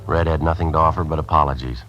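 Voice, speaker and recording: An actor playing a tough undercover police detective in a 1950s radio drama, clipped and hard-boiled, also narrating. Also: male voice, extremely low pitch, fairly even intonation, quick pace, fairly bright tone, highs cut off. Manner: clipped, hard-boiled, bullying when in character. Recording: old radio broadcast with faint music.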